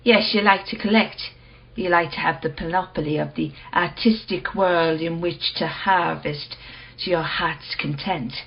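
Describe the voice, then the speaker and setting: An actress playing a cool, probing woman who will not budge, measured and blunt, in a radio play. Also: fairly low pitch, creaky, lo-fi sound. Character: cool, probing, unyielding female owner of rare mirror.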